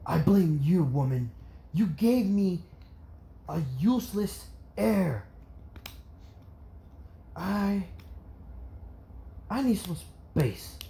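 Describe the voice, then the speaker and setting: A person playing a bitter, angry father who speaks in a harsh, halting, contemptuous way. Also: medium pitch, sing-song, dark tone. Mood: bitter anger. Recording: roomy echo, faint background noise.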